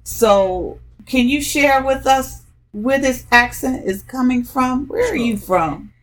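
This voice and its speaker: This is a woman who hosts a podcast, and she talks at an unhurried, easy pace. Her delivery is chatty and upbeat, with plenty of ums and you-knows as she interviews her guests. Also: sits high, expressive. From DMV area, US. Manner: chatty and upbeat, with frequent fillers. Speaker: podcast host.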